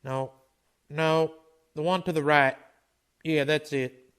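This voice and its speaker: Man as western radio marshal: weary, terse, steady under threat, slightly high male pitch. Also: takes it slowly, smooth. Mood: dry, steady. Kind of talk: western radio drama acting.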